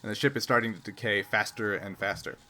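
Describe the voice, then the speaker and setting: A man's voice: lowish voice, pushed and bright. A man working as game master, narrating a tabletop adventure in a casual, improvised, conversational way. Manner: conversational, quick to laugh. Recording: noisy room recording with background ambience.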